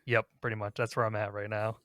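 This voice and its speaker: A man's voice: on the low side, flat delivery, fast, a bit bright, no echo, studio quality. A man hosting a gaming podcast, talking casually in a rambling, conversational way. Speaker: podcast host.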